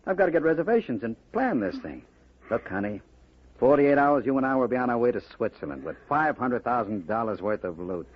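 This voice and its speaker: Husky, swooping ruthless gangster boss. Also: male voice, medium pitch, sing-song, fairly quick. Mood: cool, commanding. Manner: mocking, commanding, coolly sinister. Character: ruthless, taunting gangster boss with smooth cruelty. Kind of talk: 1950s radio drama acting. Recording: dull vintage radio recording.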